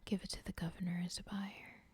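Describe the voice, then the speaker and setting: A woman's voice: mid-range voice, flat delivery, brisk, darkish tone, natural voice. A woman voicing a calculating female cartel boss in an ASMR roleplay, whispering breathily and plotting aloud. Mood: scheming whisper.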